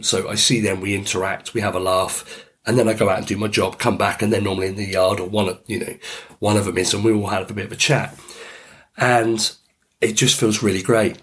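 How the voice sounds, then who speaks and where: A middle-aged man hosting a podcast in a rambling, easygoing monologue, his voice deep and croaky from a chest cold. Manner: easygoing, rambling, frequent "you know". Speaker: podcast host. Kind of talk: casual reflective monologue.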